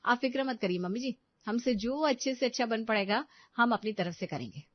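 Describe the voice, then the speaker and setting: A woman's voice: a little high, expressive, a little fast, no echo, muffled recording. A woman voicing a doting, indulgent middle-aged mother and housewife in a cartoon family story. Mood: reassuring.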